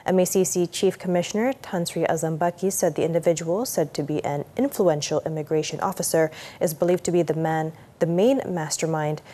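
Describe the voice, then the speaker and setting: A woman news anchor with a Malaysian accent reads from a script. Her voice sits a little low. The delivery is formal and measured, as in a television bulletin. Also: mellow. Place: TV news studio.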